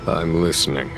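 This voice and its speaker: A man voicing a shrewd, unruffled general in a video game, wry, measured and authoritative. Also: extremely low pitch, heavily acted.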